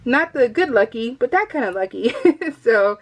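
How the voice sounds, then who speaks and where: A middle-aged woman chatting casually and informally as she narrates a craft vlog, telling stories in an animated, giggly way. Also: fairly high pitch, moderate pace. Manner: giggly, animated storytelling with quoted exchanges. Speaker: craft vlogger.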